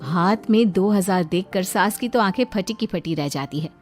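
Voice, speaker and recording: A woman narrating a family story in an expressive, dramatized storytelling style. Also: medium pitch, moderate pace, soft background music.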